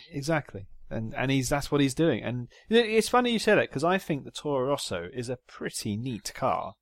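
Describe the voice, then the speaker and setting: A man's voice: mid-range voice, big pitch swings, normal speed, no room sound. A man co-hosting a casual podcast about motor racing, joking and laughing often. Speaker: podcast co-host.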